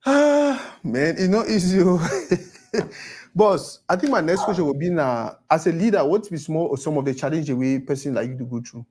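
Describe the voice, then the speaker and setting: A man runs a casual interview, relaxed and brief in his responses. His voice sits a little higher than most men's. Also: normal speed.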